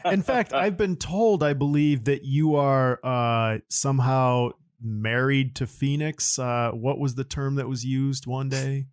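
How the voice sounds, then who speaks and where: A man's voice: mid-range voice, fairly even intonation, a little slow, hardly any pauses, smooth, dry recording, studio quality. A man hosting a podcast, bantering and interviewing guests in a jokey, casual way. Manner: jokey, playful. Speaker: podcast host.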